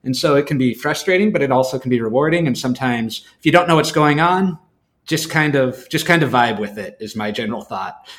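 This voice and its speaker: A man's voice: medium pitch, animated, brisk, fairly bright tone. A man co-hosting in a casual chat, talking in a thoughtful, rambling, conversational way. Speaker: podcast co-host.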